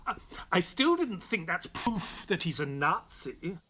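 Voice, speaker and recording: Earnest English doctor sidekick for radio play: polite, bewildered, unhurried, expressive pitch. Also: male voice, husky, exaggerated voice. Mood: flustered, protesting. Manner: hesitant, flustered, polite. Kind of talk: audio drama acting and narration.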